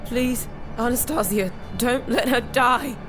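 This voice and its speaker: A woman playing a distraught, impassioned daughter in an audio drama, urgent and pleading, with a creaky edge to her voice. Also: a little high, slow pace, music in the background. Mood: grieving, pleading. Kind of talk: emotional audio drama acting.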